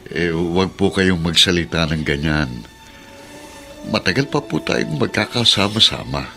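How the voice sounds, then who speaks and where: An actor playing a concerned, respectful middle-aged son-in-law in a Filipino radio drama, speaking politely. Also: male voice, low-pitched, lively intonation, normal speed, creaky, over music. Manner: polite, deferential.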